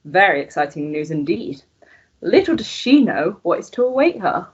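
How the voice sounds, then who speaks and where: A woman playing an arch, teasing narrator in a scripted radio melodrama, with a husky voice. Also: on the low side, very animated, moderate pace. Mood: arch, ominous. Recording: dull lo-fi recording.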